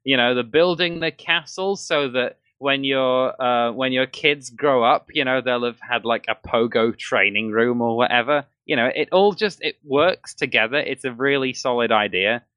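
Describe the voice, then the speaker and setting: A man's voice: medium pitch, moderate pace, few pauses, pushed and bright, clear, resonant tone, dry recording. A man talking enthusiastically and at length in a casual gaming chat as a podcast co-host. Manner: enthusiastic, rambling.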